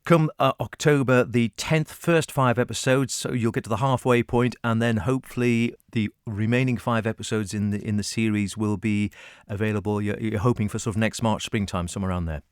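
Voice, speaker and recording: A man's voice: fairly low pitch, steady tone, brisk, clean tone, no echo, clean studio sound. A man hosting a radio arts interview, asking chatty, rambling questions in a conversational way.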